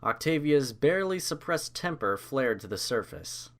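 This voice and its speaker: Man, story narrator, medium pitch, scripted reading. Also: sing-song, normal speed, fairly bright tone.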